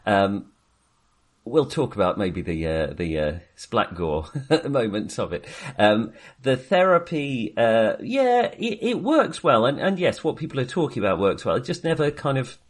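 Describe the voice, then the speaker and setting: A man co-hosting a casual film discussion, talking in a hesitant, halting way and circling back on his phrases. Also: medium pitch, big pitch swings. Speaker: podcast co-host reviewing films.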